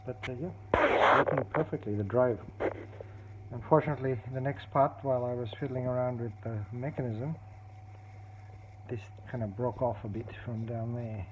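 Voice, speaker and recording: A man casually demonstrating a tape recorder repair at his workbench, explaining step by step in a halting way, with many fillers and restarts. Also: mid-range voice, steady tone. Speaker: hobbyist repairer. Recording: dull, lo-fi audio with clear background noise and clicks.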